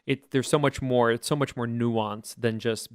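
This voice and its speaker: A man's voice: medium pitch, fairly even intonation, normal speed, clean tone, no echo, clean studio sound. Man explaining choir voices thoughtfully. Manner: thoughtful, explanatory, hedging with "I would say". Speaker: podcast co-host, choir teacher. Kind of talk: casual podcast chat.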